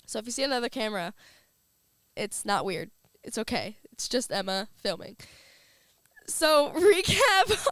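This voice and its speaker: A young woman hosts her radio show while battling a cold, talking in a loose, chatty way. Her voice sits fairly high and sounds smooth and clear. The studio sound is dry and close to the mic. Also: a bit bright, no echo. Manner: chatty, uses filler words like "um" and "like". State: has a cold. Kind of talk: casual radio talk. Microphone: studio microphone.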